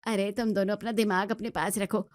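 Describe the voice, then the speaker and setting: A woman's voice: mid-range voice, level tone, fairly quick, no echo, studio quality, voice pushed down, close to an everyday voice. A woman voicing a bossy, stubborn, middle-aged mother-in-law in an Indian family story, scolding and complaining. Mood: dismissive.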